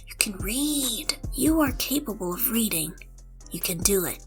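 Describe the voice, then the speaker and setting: A woman's voice: a little high, expressive, measured pace. A woman doing goofy voice acting outtakes, playful and self-deprecating, talking to herself and stumbling over lines, with music behind her. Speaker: voice actor recording roleplay audio. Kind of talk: goofy outtakes, reading takes and muttering to self.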